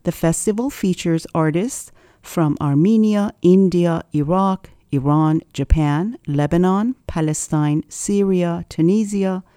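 A woman reporter reads scripted news narration, measured and even. Her voice is low and mellow, dry and close to the mic. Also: dry recording.

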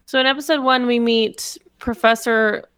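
A woman on a comedy podcast, recapping episodes and filling pauses with ums and uhs. Her voice is clear and smooth, recorded dry and close. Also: on the high side, steady tone, a bit bright, dry recording. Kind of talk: casual comedy podcast chat.

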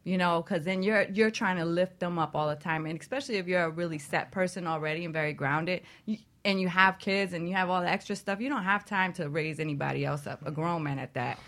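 Lower-pitched, bright-voiced woman. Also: fast talker, few pauses. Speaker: talk show panelist. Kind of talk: casual group chat.

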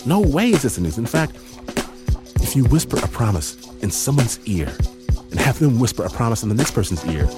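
A male host with a very lively, swooping delivery reads a playful scripted sign-off. He is theatrical and emphatic, drawing out words, with music clearly playing underneath. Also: mid-range voice, brisk. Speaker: podcast and radio host. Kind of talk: playful scripted radio outro narration.

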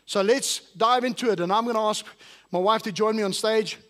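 High-voiced male pastor preaching. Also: a little fast, a bit bright. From South Africa. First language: not English. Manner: preaching to a congregation, asking the crowd questions. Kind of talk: sermon. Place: church service.

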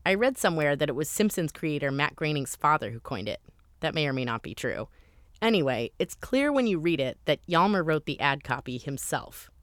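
A woman's voice: deep voice, big pitch swings, brisk, no echo, clean studio sound. A woman host giving scripted documentary narration in a conversational, lightly wry way.

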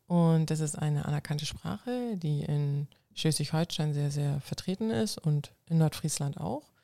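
Gravelly woman, earnest. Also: low voice, lively intonation, measured pace, mellow, dry recording, studio quality. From North Frisia, northern Germany. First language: Low German. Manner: earnest, explains at length with restarts. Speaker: guest, coach and civil servant. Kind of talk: casual interview answers.

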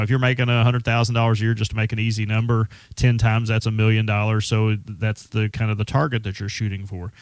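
A man's voice: on the low side, dry recording. A man speaking as a financial advisor on a radio show, conversational with frequent restarts. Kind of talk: casual radio talk.

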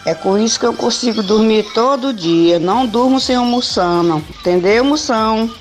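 A woman's voice: a little high, moderate pace, soft background music. A woman with a Brazilian accent leaving a casual voice message for a radio show. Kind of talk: casual chat.

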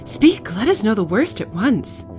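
A woman's voice: a little high, sing-song, fast, darkish tone, soft background music, pitched above the performer's own voice. A woman voicing alarmed, clamouring houseguests in a dramatic storybook reading. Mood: alarmed, demanding. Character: alarmed ladies and gentlemen, panicked and clamouring.

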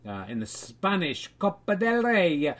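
A man whose speaking voice sits a little higher than most men's. He delivers his comedy patter in a dry, deadpan way and keeps wandering off on rambling asides as he reads. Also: measured pace. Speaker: comedy podcast host. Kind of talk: mock football results reading and chat.

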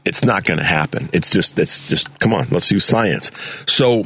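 Fervent deep-voiced radio host. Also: male voice, expressive, normal speed, a bit bright, breathy edge. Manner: fervent, preachy, repeats words when stirred. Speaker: radio talk show host. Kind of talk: impassioned political talk radio monologue. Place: radio studio. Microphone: studio mic.

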